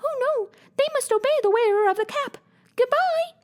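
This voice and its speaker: A woman voicing a tiny, kindly queen of the mice with a squeaky little voice in a storybook reading. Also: lively intonation, moderate pace. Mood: friendly helpful. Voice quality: squeaky, very high.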